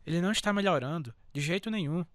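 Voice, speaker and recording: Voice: mid-range voice, expressive, quick pace, lightly acted. An actor playing a cautious, caring older brother, a farm boy, restrained and practical in a radio drama. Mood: worried.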